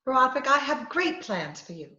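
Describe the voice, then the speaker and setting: Casting: actress for theatrical female poisoner, very lively, breezy and coaxing, radio comedy, dull roomy video-call recording. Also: sits high, normal speed, exaggerated voice. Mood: coaxing, flirtatious. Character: scheming, theatrical woman posing as a lady poisoner gangster. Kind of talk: 1940s radio comedy acting.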